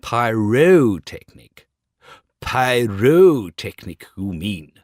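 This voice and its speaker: A man's voice: lowish voice, very animated, takes it slowly, darkish tone, dry recording, clean studio sound, voice pushed down, heavily acted. A man voicing a fussy, prickly male Bengal light firework in a storybook.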